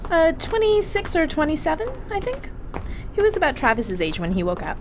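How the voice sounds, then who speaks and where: A woman playing a lively, crude crewwoman. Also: high voice, fairly quick, noisy background, heavily acted. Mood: casual. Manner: casual, swearing, laughing. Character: chatty, crude young crewwoman on a ship. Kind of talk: audio drama acting.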